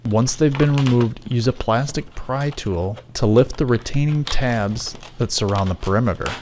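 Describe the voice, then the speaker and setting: A man delivers scripted how-to narration as a tutorial voice-over. His pitch is average for a man, and there is clear noise behind him. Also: moderate pace.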